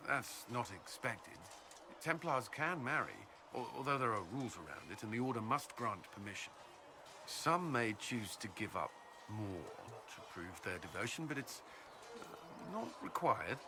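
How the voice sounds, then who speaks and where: A man's voice: mid-range voice, big pitch swings, noisy background. An actor playing an earnest, dutiful military commander in a fantasy video game, a little hesitant in his speech. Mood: flustered, halting. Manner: hesitant, halting when flustered.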